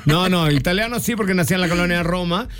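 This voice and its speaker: A man's voice: sits high, fast talker, background noise. Joking Mexican man talking cars. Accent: Mexican Spanish. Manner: joking, enthusiastic. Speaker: car show host. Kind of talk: casual radio car talk.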